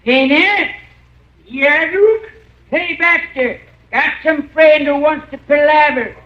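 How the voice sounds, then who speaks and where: High-pitched, slow-drawling old backwoods hermit. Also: male voice. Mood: wary, gruff. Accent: rural American. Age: older. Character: suspicious old backwoods hermit with a shotgun, detective-magazine fan. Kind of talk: 1940s-50s radio acting. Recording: muffled old radio recording, echoey.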